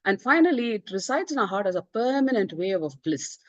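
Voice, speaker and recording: A woman's voice: medium pitch, very animated, a little fast, dry recording. Earnest woman, dull dry sound. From India. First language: Tamil. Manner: earnest, with frequent "uh" and "you know" fillers and restarts. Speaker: book author presenting her book. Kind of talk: online book presentation talk.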